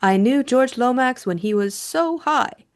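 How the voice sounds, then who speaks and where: A woman's voice: high-pitched, expressive, unhurried, pitched above the performer's own voice. Woman playing an imperious, emphatic older aristocratic aunt. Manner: emphatic, commanding, lofty.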